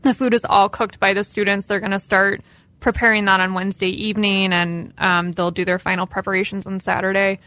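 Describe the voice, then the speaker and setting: Female staff member, medium pitch, clear explainer, dry studio mic. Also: flat delivery, brisk, bright, forceful tone, no room sound. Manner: informative, with "um" fillers. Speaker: college staff administrator. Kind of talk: radio interview guest.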